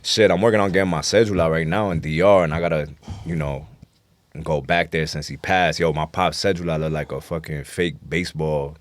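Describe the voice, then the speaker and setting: New york guy, real deep voice, rapper chatting in an interview, lots of slang, dry sound. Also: fairly even intonation, brisk, no echo. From New York City. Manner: blunt street slang, frequent affirmations. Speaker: interview guest, rap artist. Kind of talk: casual conversational interview, slangy.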